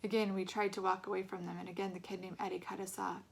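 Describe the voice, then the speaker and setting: A woman storyteller reads a book aloud in a medium-pitched voice. Her narration is expressive and follows the story phrase by phrase. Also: quick pace, vocal fry.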